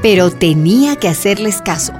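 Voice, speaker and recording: A woman's voice: mid-range voice, lively intonation, normal speed, music in the background. A woman narrating a scripted radio drama as its storyteller.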